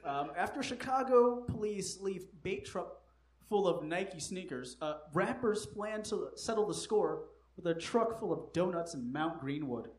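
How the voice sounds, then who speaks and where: A man's voice: sits high, animated, measured pace. A man hosting a live comedy quiz, joking, swearing and laughing often. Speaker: comedy show host. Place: live comedy venue with audience. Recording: echoey live room, faint noise.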